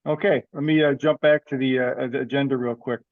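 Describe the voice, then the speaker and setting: Halting man presenting, dull dry call audio. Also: mid-range voice, steady tone, no echo. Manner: halting, thinking aloud while presenting. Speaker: online meeting presenter for an amateur radio group. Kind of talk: informal meeting update, unscripted. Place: dry room, on a video call.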